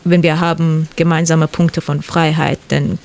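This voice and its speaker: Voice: medium pitch, level tone, measured pace, darkish tone. A native Persian speaker from Iran with a Persian accent, a guest in a radio discussion who searches for words. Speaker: interview guest. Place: radio studio.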